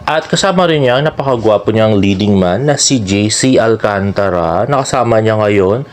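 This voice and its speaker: A man's voice: lowish voice, expressive, moderate pace. A man with a Filipino accent gives casual, chatty fan commentary as a vlogger, gushing and often adding tag questions. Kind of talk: casual commentary on celebrity social media posts. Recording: clearly noisy background with ambient sound.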